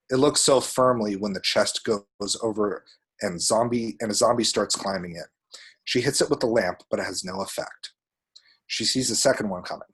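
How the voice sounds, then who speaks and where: A man's voice: lowish voice, fairly bright tone, breathy edge, rough recording. A man reading screenplay action descriptions aloud as dramatic, vivid narration at a table read.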